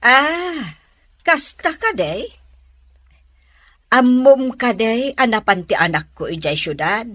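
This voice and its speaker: A woman's voice: on the high side, expressive, slow pace, pauses often, bright, forceful tone, no room sound, exaggerated voice. Gossipy middle-aged mom, woman acting, chatty and testy, voice jumps around a lot, radio drama. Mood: confiding. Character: gossipy middle-aged mother, easily annoyed.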